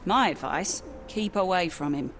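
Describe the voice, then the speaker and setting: A woman's voice: lowish voice, expressive, measured pace, faint music behind. An actress playing a guarded, plain-spoken woman who runs a Victorian port tavern in a video game. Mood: wary, matter-of-fact. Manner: wary, matter-of-fact. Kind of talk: video game cutscene acting.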